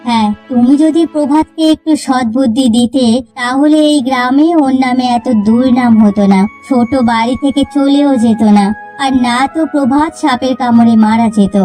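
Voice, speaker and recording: A woman's voice: high-pitched, normal speed, warm tone, soft background music, lightly acted. A woman playing an earnest, worried woman of a village household, imploring in a melodramatic cartoon style. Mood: reproachful.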